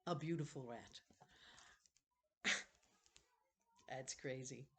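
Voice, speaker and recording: A woman's voice: very deep voice, extremely slow, breathy edge. A woman reading a children's novel aloud expressively, with casual asides and the odd self-correction. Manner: expressive, stops to correct misreadings.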